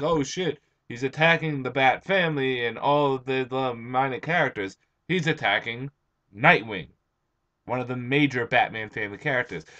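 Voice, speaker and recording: Hoarse, just-woken young man. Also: mid-range voice, a bit bright. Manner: rambling, chatty, with frequent "uh" and restarts. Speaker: comic book reviewer. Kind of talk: casual video review.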